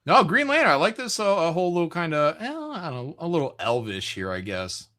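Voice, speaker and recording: A man's voice: on the high side, animated, fast, bright, forceful tone. A man hosting a livestream, chatting casually as he reacts to game cutscenes.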